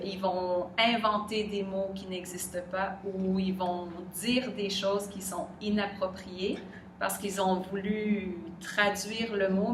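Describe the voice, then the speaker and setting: Québécoise woman in an echoey room. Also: medium pitch. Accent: Québécois French. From Quebec. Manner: thoughtful, pauses to search for words. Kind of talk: reflective interview answers. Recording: echoey room, distant mic, background noise.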